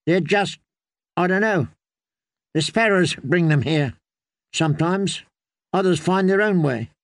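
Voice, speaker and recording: A man's voice: sits high, measured pace, dry recording, clean studio sound, voice pushed up. A man voicing a weary, wary ten-year-old innkeeper girl in a storybook, with blunt, questioning speech.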